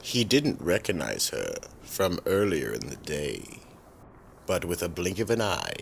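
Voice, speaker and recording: A man narrating and announcing a scripted horror radio drama in a deliberate manner. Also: low voice, wildly swooping pitch, vocal fry, slight background noise.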